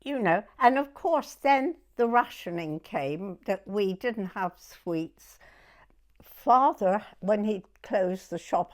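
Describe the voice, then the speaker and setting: Slow-talking elderly southern English woman. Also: medium pitch. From Weymouth, Dorset, England. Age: 90s. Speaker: oral history interviewee. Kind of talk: reminiscing in an interview.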